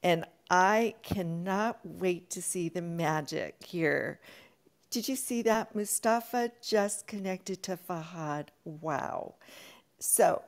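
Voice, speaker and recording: Host, woman, unhurried but energetic, rallying an audio room, laughs a lot. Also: fairly low pitch. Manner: energetic, hype-building, laughs often. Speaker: room moderator. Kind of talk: enthusiastic Clubhouse hosting.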